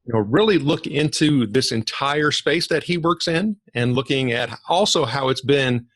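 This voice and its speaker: A man's voice: medium pitch, lively intonation, moderate pace, a bit bright, no room sound. A man hosting a podcast who talks in a loose, easygoing way and leans on "you know" a lot. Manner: filler-heavy, loose phrasing with "you know". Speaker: podcast host. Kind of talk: scripted intro then casual interview chat.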